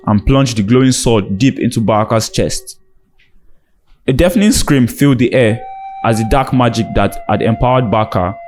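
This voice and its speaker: A man's voice: mid-range voice, darkish tone. A man narrating a scripted dramatic folktale as a storyteller. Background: background music.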